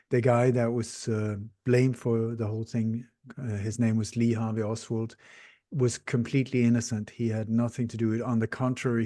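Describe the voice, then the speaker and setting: A man in his sixties, born in Denmark and raised in Sweden, speaking English in a podcast interview, explaining things earnestly and steadily with frequent pauses. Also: fairly low pitch, mellow, no echo, clean studio sound. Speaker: interview guest, researcher.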